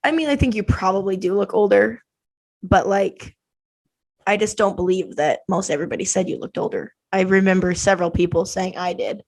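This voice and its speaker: A woman's voice: medium pitch, fairly quick, creaky. A woman in her twenties with an American accent, chatting casually as a podcast host and bickering playfully. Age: 20s.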